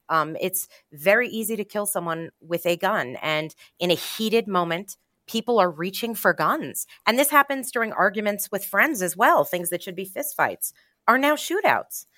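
Low-voiced woman explaining as interview guest. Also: a bit bright, no room sound, studio quality. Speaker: interview guest, journalist covering gun violence. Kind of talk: explanatory radio interview answers.

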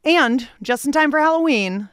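A woman's voice: sits high, expressive, moderate pace, fairly bright tone, a little hiss or hum. A woman hosting and interviewing on a radio show in an informal, playful way.

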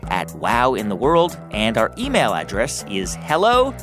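A man's voice: on the low side, huge pitch swings, a little slow, over music. A man co-hosting a children's science podcast, reading the credits and announcements in a friendly, announcer-like way. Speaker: podcast co-host. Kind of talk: scripted show outro.

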